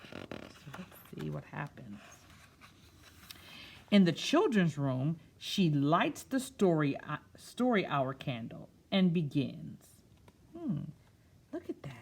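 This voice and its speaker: Lady doing story time for kids, husky n a bit gravelly, swoops up and down, stops to explain stuff softly. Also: low voice. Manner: expressive, stretches key words and pauses to explain. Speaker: storyteller reading a picture book to children. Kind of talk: read-aloud story time with explanatory asides.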